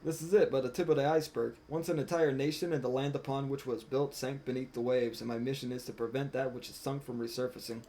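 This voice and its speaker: A man's voice: mid-range voice, fairly even intonation, fast talker, few pauses, warm tone, a little hiss or hum, natural voice. A man voicing a self-important talking cat on a solemn mission from a video game, in archaic, formal words. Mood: pompous, resolute. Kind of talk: reading game dialogue aloud. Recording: music behind the voice.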